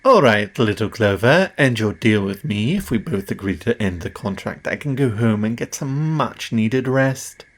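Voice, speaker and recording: A man's voice: on the low side, expressive, normal speed, hardly any pauses. A man playing a cocky, condescending, powerful demon who makes deals, performed as a theatrical audio roleplay spoken straight to the listener. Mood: exasperated, bargaining.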